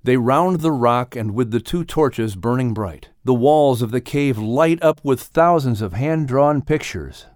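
A man reading a scripted audiobook story as its narrator, with expressive delivery. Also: mid-range voice, unhurried, hardly any pauses, dry recording, clean studio sound.

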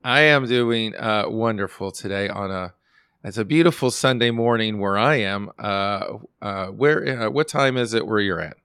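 A man's voice: lowish voice, moderate pace, a bit bright, clear, resonant tone, dry recording. Friendly male podcast host, dry sound. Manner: friendly, chatty, some filler hesitations. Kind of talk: casual interview.

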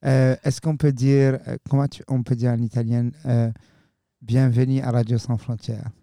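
A man's voice: mid-range voice, little pitch movement, normal speed, warm tone, no room sound, studio quality. A man hosting a radio podcast, interviewing casually and informally, with English interjections dropped into his French.

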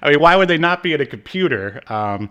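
A man co-hosting a podcast, giving a casual, informal recap in a halting storytelling manner. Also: a little high, lively intonation, bright, forceful tone, smooth. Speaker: podcast co-host. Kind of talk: casual episode recap chat.

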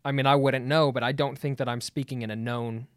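A man debating as a guest, pushing back eagerly with quick stumbling restarts. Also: mid-range voice, steady tone, dry recording, studio quality. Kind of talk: debate conversation.

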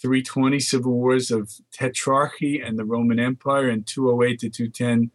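A man's voice: mid-range voice, little pitch movement, normal speed, no echo. An older man from the Netherlands, a market research guest, chatting casually and reading long lists of dates aloud. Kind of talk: casual phone interview chat.

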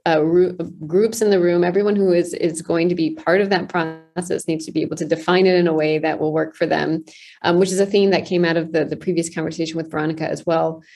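A woman guest host with a somewhat low, breathy-husky voice. She frames her questions in a loose, conversational way, tossing in "right?" and "you know". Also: fairly even intonation, fast, darkish tone. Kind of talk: interview questions.

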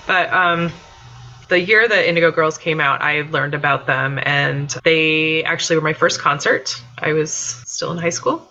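A woman's voice: low-pitched, pushed and bright, lo-fi sound. A woman in her fifties chatting in an interview, heartfelt and effusive, with plenty of "like" and "um". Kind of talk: casual interview chat.

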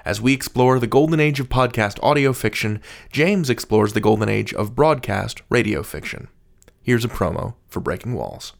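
A man's voice: lowish voice, moderate pace, creaky. A man hosting a podcast, reading scripted announcements and credits. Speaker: podcast host.

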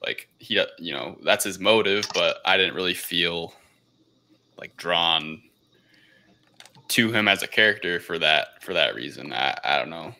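Very deep, gravelly, bright-edged male voice. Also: unhurried. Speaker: podcast co-host. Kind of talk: casual film review discussion.

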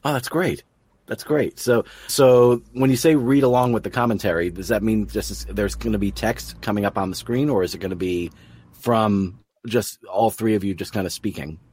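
A man's voice: fairly low pitch, normal speed, darkish tone, husky. A man hosting an interview podcast, speaking in an effusive, appreciative way. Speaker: podcast host and producer.

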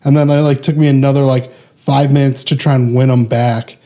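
A man's voice: mid-range voice, steady tone, a little fast, mellow. A man who does stand-up, telling a story earnestly in casual podcast talk. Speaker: stand-up comedian.

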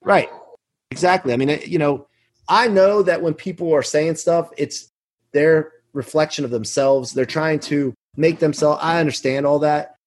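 Husky, higher-voiced man in his forties. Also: moderate pace. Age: 40s. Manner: emphatic, self-assured, earnest. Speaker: podcast guest, business executive. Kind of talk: motivational interview talk, anecdotes.

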